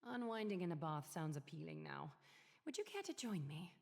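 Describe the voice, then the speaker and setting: A low, stately, aloof noblewoman, reverberant. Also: big pitch swings, gravelly, a little mellow, exaggerated voice. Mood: solemn, pensive. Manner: measured, formal, coolly eloquent. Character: composed, aloof noblewoman leader, ancient and calculating. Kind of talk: scripted video game voice acting. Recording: long reverb, music behind.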